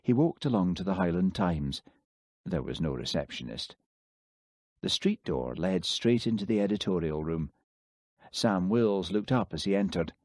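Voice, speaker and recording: A man reading a novel aloud as an audiobook narrator, lifting his pitch for the other characters' lines. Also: lively intonation, moderate pace, a little mellow, no echo, studio quality. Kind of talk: scripted narration.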